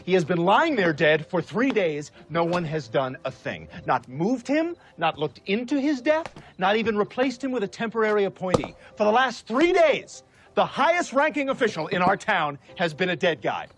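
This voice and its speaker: A man's voice: sits high, very animated, moderate pace, a bit bright, exaggerated voice. An indignant townsman. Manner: indignant, emphatic.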